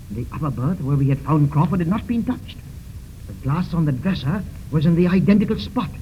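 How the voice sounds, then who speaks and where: A man's voice: on the high side, close to an everyday voice. An actor playing a tense, resolute detective who narrates his case theatrically in a 1930s radio mystery serial. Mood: brooding, deliberate. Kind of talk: 1930s radio drama first-person narration. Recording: muffled, noisy 1930s radio recording.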